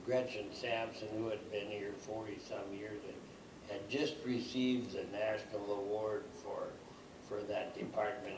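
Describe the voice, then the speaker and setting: A man chairing a county committee meeting, speaking in a halting, soft-spoken way. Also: lowish voice. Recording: distant mic in echoey room with hiss.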